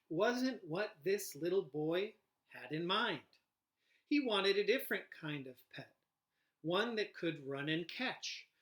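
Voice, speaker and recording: A man, a teacher, reading a storybook aloud to children in an expressive, deliberate way, with asides and questions to his listeners. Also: high-pitched.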